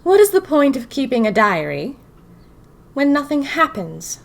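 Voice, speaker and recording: A woman playing a whimsical young 1920s typist who confides in her diary, narrating audio fiction in a wry, expressive way with dramatic pauses. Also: high voice, creaky, voice pushed up, heavily acted. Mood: bored, wry.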